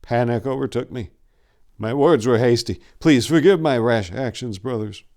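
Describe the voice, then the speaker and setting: A rash, hot-tempered warrior monk, acted by a male narrator, pitched above the reader's own voice. He is an intense, suspicious man in a dark fantasy tale. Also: moderate pace, close to an everyday voice. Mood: contrite.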